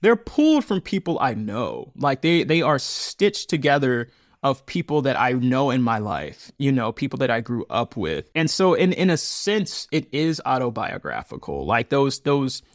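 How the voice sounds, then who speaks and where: A man in his thirties talks as a podcast guest, his voice swinging widely as he gets emphatic. He laughs often and slips into quick quoted voices. The recording is dry and close. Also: medium pitch, no room sound. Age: 30s. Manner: animated, laughing often, emphatic and preachy at times. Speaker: podcast guest, audio fiction creator. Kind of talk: conversational interview.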